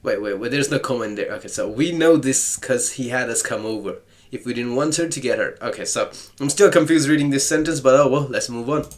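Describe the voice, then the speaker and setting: A man's voice: medium pitch, fairly quick, few pauses. A man casually commenting while playing a visual novel, playful and sarcastic, joking back at the story text.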